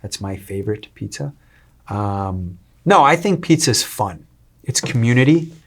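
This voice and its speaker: A man, a restaurant owner talking as an interview guest, energetic and salesy with many fillers and restarts. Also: lowish voice, measured pace. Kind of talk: casual interview talk.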